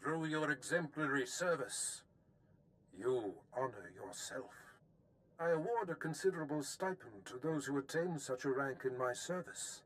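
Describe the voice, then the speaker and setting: An actor playing a powerful, smugly commanding older Sith master in a video game, speaking formally and with measured pomp. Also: male voice, medium pitch, animated, natural voice, rough recording. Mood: approving. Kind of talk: scripted game dialogue.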